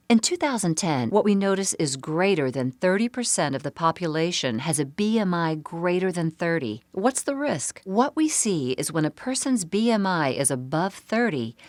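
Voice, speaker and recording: A woman giving a scripted, explanatory narration for a medical presentation in a measured way. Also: low-pitched, no echo. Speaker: seminar narrator.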